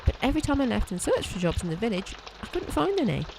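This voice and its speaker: A mistreated maid, as acted in an audio drama, bitter and plaintive. The pitch swings widely as the servant speaks, over clear background noise. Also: big pitch swings, fast.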